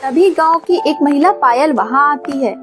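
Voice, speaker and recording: A woman's voice: high-pitched, moderate pace, music in the background. A woman narrating a scripted cartoon story for children.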